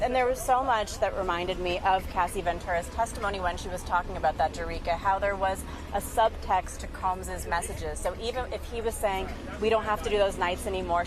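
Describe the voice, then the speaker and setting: A woman's voice: mid-range voice, low-quality recording. A woman correspondent talking through a story in an unscripted live news discussion.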